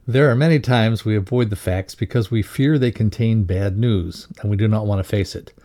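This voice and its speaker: A man with a dark, mellow and slightly low voice reads a prepared lesson. His delivery is measured and instructive, like narration for a training course. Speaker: reader of a values lesson. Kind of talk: scripted educational narration.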